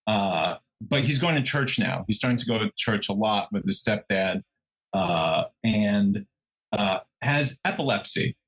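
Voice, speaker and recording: A man's voice: on the low side, lively intonation, measured pace, fairly bright tone, slightly rough. Male true crime co-host. Conversational storyteller who stumbles, backtracks and corrects himself as he goes.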